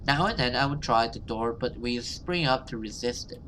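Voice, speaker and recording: A man giving casual let's play commentary and reading game text aloud, halting and repeating himself, given to exclaiming. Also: mid-range voice, bright, forceful tone, a little hiss or hum, rough recording. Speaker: gaming video host.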